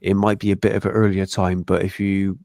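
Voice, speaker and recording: Low gravelly congested man. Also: flat delivery, a little fast, darkish tone, no room sound, clean studio sound. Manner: laid-back, friendly, calls the other host mate. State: congested, blocked nose. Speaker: podcast co-host. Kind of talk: casual live-stream chat.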